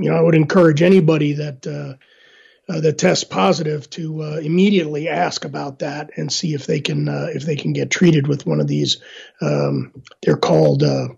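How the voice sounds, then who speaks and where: A middle-aged man, hoarse after illness, answering interview questions in a halting way with many fillers and restarts. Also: on the high side, a little mellow. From Northern Virginia, USA. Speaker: guest. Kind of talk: casual interview talk. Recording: dull lo-fi line.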